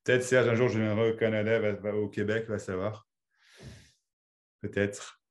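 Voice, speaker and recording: A man's voice: on the low side, level tone, normal speed. A man who grew up in Belgium, hosting a video-call meeting and chatting casually, rambling with many restarts. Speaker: meeting host.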